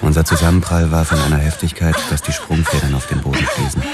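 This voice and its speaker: A man's voice: extremely low pitch, level tone, quick pace. A man playing a grim, haunted police detective who tells his own story tersely in a noir radio drama. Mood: brooding. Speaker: narrator and lead actor. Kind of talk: first-person noir radio drama narration. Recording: loud music behind the voice. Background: loud music.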